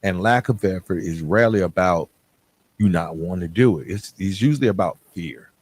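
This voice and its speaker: A man's voice: low voice, a little fast, darkish tone, husky. A middle-aged man hosts a motivational podcast. He talks directly to the listener in an earnest, conversational way, posing questions and checking in as he goes. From Atlanta, United States. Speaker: podcast host. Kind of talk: motivational talk addressed to the listener.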